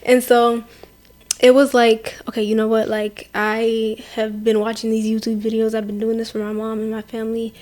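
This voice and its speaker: Chatty young woman, flat delivery. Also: a little high, normal speed. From Portland, Maine, USA. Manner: chatty, many fillers, quotes others. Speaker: guest, makeup artist. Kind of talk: casual interview talk.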